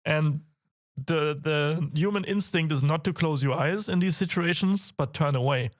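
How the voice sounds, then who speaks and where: A young German man with a raspy, hoarse voice, co-hosting a casual games podcast, laughing often and getting excited. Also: fairly high pitch, normal speed. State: hoarse from a cold. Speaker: podcast co-host. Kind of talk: casual chat.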